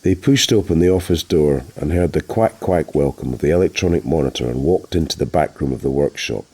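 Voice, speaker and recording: A man's voice: a bass voice, fairly quick, dark tone, slightly rough. A man reading a novel aloud as an audiobook narrator, in a scripted storytelling style.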